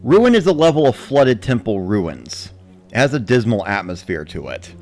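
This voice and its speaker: A man giving a measured, matter-of-fact first-person narration of a scripted story. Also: fairly low pitch, very animated, darkish tone, faint music behind. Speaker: narrator of a dramatic reading.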